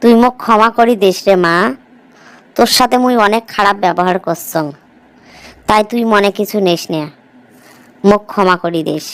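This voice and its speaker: A woman's voice: mid-range voice, moderate pace, long pauses, fairly bright tone, smooth, natural voice. A woman voicing a bossy village stepmother in a cartoon story, speaking with a northern Bangladeshi accent. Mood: remorseful. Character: harsh, scolding village stepmother who favours her own daughter. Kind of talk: amateur cartoon story voice acting.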